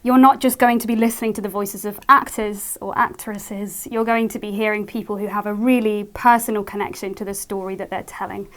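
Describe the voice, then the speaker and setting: A woman's voice: medium pitch, steady tone, fairly quick, hardly any pauses, fairly bright tone. A woman festival presenter with a mid-range voice, introducing a radio play to an audience.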